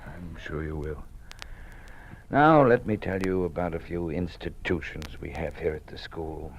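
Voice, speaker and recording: An actor playing a weary, cautious old college dean in an old-time radio drama, speaking in a measured, formal, fatherly manner. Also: very deep voice, slightly rough, exaggerated voice. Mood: cautioning, confiding. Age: older. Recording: noisy vintage radio drama recording.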